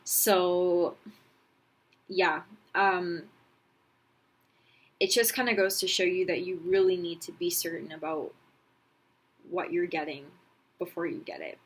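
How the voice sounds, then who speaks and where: A woman's voice: lowish voice, steady tone, slow pace, pauses often, breathy edge. A young woman talking casually to camera in a personal vlog, candid and rambling, with frequent ums and restarts. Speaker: vlogger.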